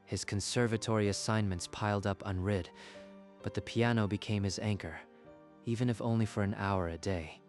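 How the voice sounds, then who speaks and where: A man narrating a manga dub in an earnest, steady storytelling voice. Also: fairly low pitch, moderate pace, soft background music. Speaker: narrator and lead voice actor.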